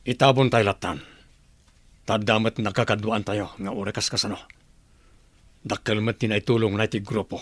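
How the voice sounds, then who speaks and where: A man's voice: fairly low pitch, steady tone, normal speed, long pauses, no echo, close to an everyday voice. Man acting a stern rebel leader, dry recording. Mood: stern, grave. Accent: Ilocano. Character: stern male leader of an armed group. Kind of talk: Ilocano radio drama acting.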